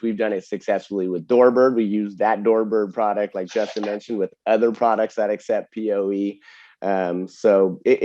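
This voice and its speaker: A man with a fairly low voice answers technical questions in a casual webinar style. He explains things step by step and often tags on a check for agreement. The sound is a bit dull. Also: warm tone, no room sound. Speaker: webinar host and distributor product expert.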